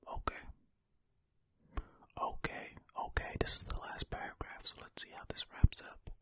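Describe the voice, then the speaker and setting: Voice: measured pace, no room sound. An ASMR host whispering ear to ear in a tentative, musing way, reading and commenting close to the microphone. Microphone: close stereo ASMR microphone.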